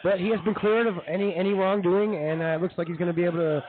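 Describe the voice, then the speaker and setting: A man hosting a live comedy show, running through news stories in a casual, halting, chatty way. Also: high-pitched, steady tone, narrow, tinny audio, a crowd in the background. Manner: halting, informal, chatty delivery.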